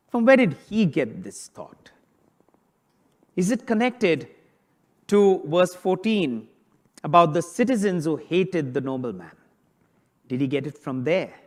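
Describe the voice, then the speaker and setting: Priest delivering a homily, high male voice, informal preaching built on rhetorical questions. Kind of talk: sermon on a Bible passage.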